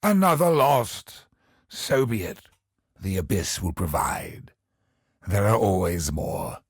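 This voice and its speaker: An actor voicing a brooding, grandiose godlike villain in a video game, husky and gravelly, with an ominous, deliberate delivery. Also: male voice, on the low side, big pitch swings, no room sound, studio quality, full character voice. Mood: bitter, resigned. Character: godlike male cosmic villain, brooding, grandiose, obsessed with perfection. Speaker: video game boss character.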